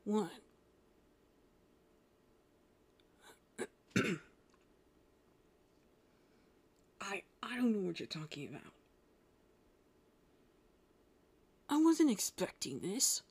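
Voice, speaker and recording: A woman playing a gruff, prickly but secretly tender young male half-demon in a roleplay spoken to the listener, brusque with a low, gravelly voice. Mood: flustered. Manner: gruff, brusque, softening into breathy murmurs. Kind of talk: roleplay audio addressed to the listener.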